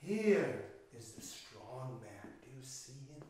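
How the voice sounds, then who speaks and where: Slow, animated male storyteller in echoey room. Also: medium pitch. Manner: slow, expressive, dramatic pauses, addresses the audience directly. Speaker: children's storyteller. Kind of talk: animated storytelling for young children. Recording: roomy, echoey, distant microphone.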